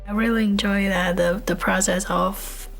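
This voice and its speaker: A woman's voice: mid-range voice, steady tone, normal speed, vocal fry, a bit bright. Taiwanese-accented woman over faint music. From Taipei, Taiwan. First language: Chinese. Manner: simple, non-native phrasing. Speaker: student researcher. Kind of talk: interview-style personal and project account.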